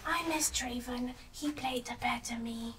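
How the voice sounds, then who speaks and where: A woman speaking softly and whisperingly, roleplaying her character in halting phrasing. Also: fairly high pitch, reverberant, far from the mic, a little hiss or hum. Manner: soft whispery delivery, slightly broken phrasing in character. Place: studio set with desk, indoors.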